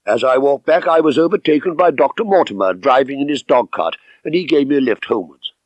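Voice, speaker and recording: A man reading first-person literary narration as an audiobook narrator, measured, expressive and dramatic. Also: mid-range voice, no echo, dull audio.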